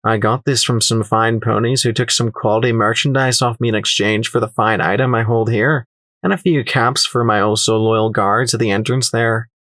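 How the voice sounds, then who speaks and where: A man's voice: medium pitch, steady tone, normal speed, a bit bright, no echo, natural voice. A man voicing a shrewd, condescending pony merchant in an audiobook story, with a sly, flighty, sneering delivery. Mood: sly, mocking. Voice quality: flighty, airy. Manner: sneering, condescending, calling others laddie.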